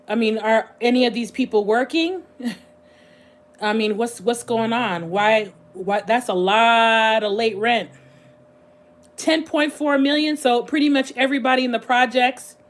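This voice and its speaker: Slightly hoarse woman rambling on a livestream. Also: a little high. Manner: rambling, chatty, thinks aloud with frequent pauses. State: slightly hoarse, voice going scratchy. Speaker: livestream host. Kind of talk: casual livestream chat.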